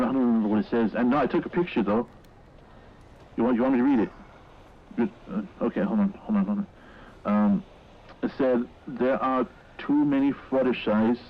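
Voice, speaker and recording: A man's voice: mid-range voice, a little hiss or hum, close to an everyday voice. Man acting shaken witness on lo-fi audio. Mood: uneasy reading. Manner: halting, rambling, repeating words. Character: shaken male mall worker recounting a horrific incident. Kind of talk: horror audio drama, informal witness account.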